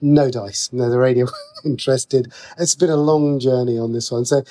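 A British man speaks in a conversational interview as a writer guest. He has a medium-pitched voice and explains his thoughts in a chatty, informal flow. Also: fairly even intonation, moderate pace, mellow.

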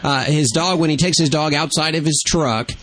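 A man's voice: on the high side, moderate pace, fairly bright tone. A man co-hosting a call-in radio show in a casual, conversational style. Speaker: radio co-host.

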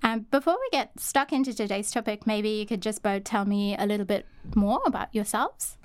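A woman hosting a podcast interview, asking questions in a friendly, measured way. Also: medium pitch. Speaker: podcast host.

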